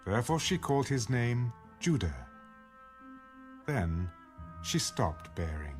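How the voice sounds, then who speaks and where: A man's voice: medium pitch, big pitch swings, takes it slowly. A man narrating scripture from a script as the reader of a dramatized audio Bible. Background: background music.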